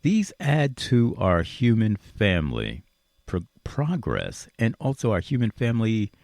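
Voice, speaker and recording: A man's voice: lowish voice, huge pitch swings, measured pace, a little mellow, no room sound. An older man hosting a radio talk show, delivering a reflective, motivational monologue straight to his listeners in an earnest, preachy, emphatic way.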